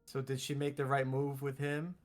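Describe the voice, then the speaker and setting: A man's voice: medium pitch, completely flat delivery, moderate pace, faint music behind. A man in casual banter, teasing and reacting with short questions and remarks.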